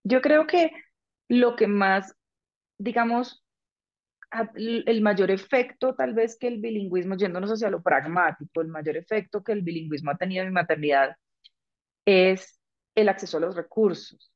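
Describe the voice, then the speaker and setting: A middle-aged woman, a guest, speaking in a thoughtful, frank and measured way during a conversational interview. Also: medium pitch, dull audio. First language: Spanish.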